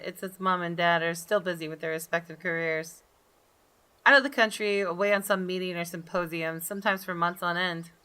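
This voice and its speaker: A woman's voice: on the low side, bright, forceful tone. A woman reading a visual novel aloud as dramatic first-person narration, now and then stumbling and rereading a phrase.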